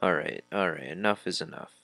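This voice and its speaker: A man's voice: low voice, a little fast, vocal fry, pushed and bright, no room sound. A man giving casual let's play commentary, reading dialogue aloud and muttering informal asides to himself. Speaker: video game let's player.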